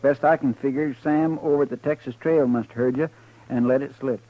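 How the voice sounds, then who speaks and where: An actor playing a loyal, fretful deputy in a 1950s radio western, speaking in a folksy, twangy drawl. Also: male voice, mid-range voice, steady tone, brisk, lightly acted. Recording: tinny old radio broadcast.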